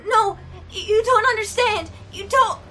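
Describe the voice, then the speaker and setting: Sobbing, shrill, desperate young mother-to-be. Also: a little slow, fairly bright tone. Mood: frightened pleading. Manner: pleading, broken, sobbing delivery. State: crying. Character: desperate bitten pregnant woman begging for her baby's life. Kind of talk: audio drama acting.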